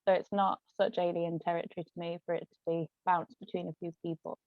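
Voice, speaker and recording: Gravelly-voiced woman on a thin call line. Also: lowish voice, little pitch movement, fast, dry recording. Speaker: interview guest, author and ghostwriter. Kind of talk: casual interview chat. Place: online video call. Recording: thin phone-like call audio, dry.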